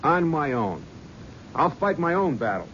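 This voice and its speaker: An actor playing a tough middle-aged talent agent, blunt and dismissive. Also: male voice, fairly high pitch, big pitch swings, unhurried, heavy background noise. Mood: defiant. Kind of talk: 1970s radio drama acting. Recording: dull vintage radio broadcast.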